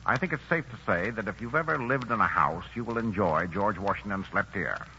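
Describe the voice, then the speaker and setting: A man's voice: lowish voice, animated, a little fast, bright, forceful tone, a little hiss or hum. A man announcing next week's play in a polished, jovial radio-promo style. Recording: dull lo-fi 1940s radio broadcast with music.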